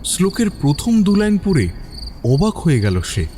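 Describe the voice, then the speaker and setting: A man narrating a scripted mystery story as a dramatic, suspenseful storyteller with a measured delivery. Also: high-pitched, wildly swooping pitch, warm tone, a little hiss or hum.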